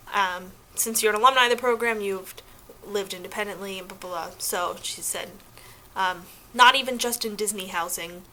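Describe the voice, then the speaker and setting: A young adult woman chatting casually to her viewers in an informal, animated way with slangy asides, as a vlogger sharing advice. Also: medium pitch, slight background noise, poor audio. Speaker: vlogger giving application advice.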